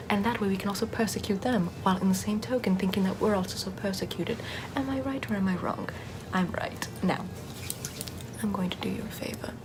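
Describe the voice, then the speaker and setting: A woman playing a sardonic witch healer in an ASMR roleplay, soft-spoken and often whispering in a conversational way. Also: medium pitch, close to an everyday voice. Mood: soothing. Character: sardonic Puritan-era witch, chatty, scornful yet soothing healer. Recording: clear background ambient noise.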